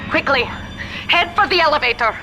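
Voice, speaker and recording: An actress playing an earnest woman guide and ally in a video game, explaining things gravely and urgently. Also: sits high, fairly quick, music in the background, low-quality recording. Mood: urgent, instructive. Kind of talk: video game dialogue acting.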